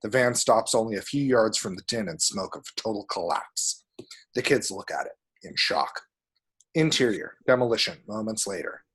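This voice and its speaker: A man with a husky voice narrating action descriptions at a screenplay table read. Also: fairly low pitch, moderate pace, a bit bright.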